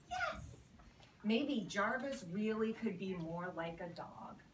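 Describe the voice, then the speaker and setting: A woman, a teacher, reading a picture book expressively to young children and prompting them with questions as she projects to the group. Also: on the low side. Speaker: elementary school teacher. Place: elementary school classroom, indoors. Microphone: camera across the room. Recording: roomy, noisy classroom, distant camera mic. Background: children's voices and classroom noise.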